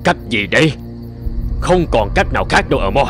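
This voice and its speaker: A man's voice: mid-range voice, very animated, normal speed, close to an everyday voice. A man playing an anxious, stubborn gold prospector in a cartoon, with a fretful, complaining manner. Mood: panicky, stubborn. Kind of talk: cartoon dub acting. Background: music.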